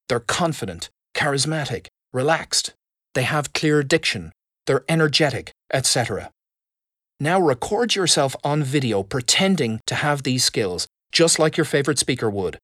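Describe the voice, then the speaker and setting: A man giving a measured, expressive lesson on public speaking. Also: a little high, no echo, clean studio sound. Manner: expressive emphasis, measured delivery. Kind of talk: instructional talk.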